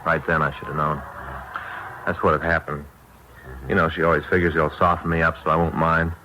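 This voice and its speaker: An actor playing a chatty, grumbling married American police detective in a naturalistic 1950s radio drama, rambling along in a folksy way. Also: male voice, very deep voice, flat delivery, a little fast, sharp tone. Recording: noisy old radio recording.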